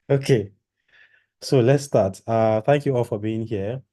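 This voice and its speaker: A man's voice: fairly low pitch, slow, mellow. A man giving a live-streamed orientation talk to trainees, rambling and repeating himself with many fillers. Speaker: training program organizer.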